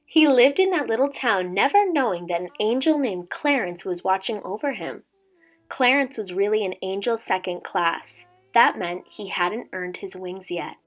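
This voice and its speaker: A woman's voice: medium pitch, expressive, normal speed. A woman in her twenties speaking as a teacher doing a storybook read-aloud for children. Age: 20s. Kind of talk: casual intro chat and storybook read-aloud.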